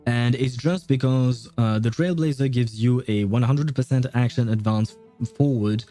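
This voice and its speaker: A man's voice: medium pitch, normal speed, mellow, faint music behind. A man hosting a livestream, chatting casually in a rambling way and often losing his train of thought. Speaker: livestream host. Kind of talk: casual game stream chat.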